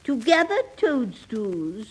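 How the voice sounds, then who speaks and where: Slow, dotty old aunt. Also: female voice, a little high, very animated, heavy background noise. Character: ancient eccentric witchy aunt, vague and dotty. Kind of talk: 1950s radio comedy acting. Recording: 1950s radio play recording.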